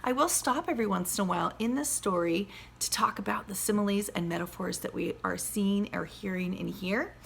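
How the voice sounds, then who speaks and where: A woman's voice: mid-range voice, brisk, gravelly. A woman teacher with a slightly scratchy voice, explaining simply to young children as she teaches a lesson and reads a picture book. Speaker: second-grade teacher. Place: at home.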